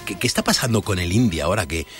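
Eager low-voiced male radio host. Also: lively intonation, fast, fairly bright tone. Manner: enthusiastic, repeats phrases, stammers. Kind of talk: radio interview. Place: radio studio.

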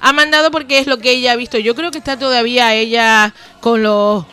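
A woman's voice: a little high, steady tone, a little fast, pushed and bright, clean tone. A woman with a Canarian Spanish accent co-hosting a radio show in casual, bantering chat.

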